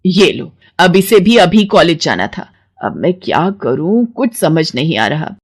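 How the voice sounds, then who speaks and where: A woman's voice: lowish voice, sing-song, unhurried, husky, exaggerated voice. A woman voicing a fussy middle-aged mother-in-law in a cartoon story, who complains and scolds. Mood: grumbling.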